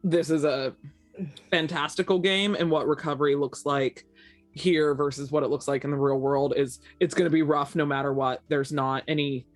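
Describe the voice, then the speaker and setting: A woman's voice: low-pitched, no echo. An American woman talking casually and candidly, with some swearing, in a debrief after a tabletop roleplaying game.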